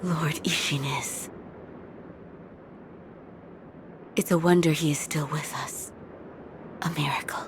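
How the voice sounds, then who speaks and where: A woman voicing a calm, grave lady retainer in a video game, with a hushed, deliberate and formal delivery. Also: deep voice, background noise, natural voice. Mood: hushed sorrow. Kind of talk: dramatic video game voice acting. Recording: reverberant hall.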